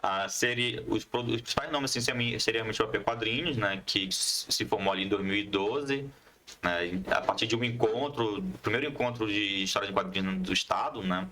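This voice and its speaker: A man's voice: fairly low pitch, fairly quick, pushed and bright, a little hiss or hum. A man with a northern Brazilian accent explaining things as a podcast guest in casual conversation, often adding little tags to check agreement. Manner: explanatory, repeats "né" as a tag. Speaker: podcast guest, comics collective member.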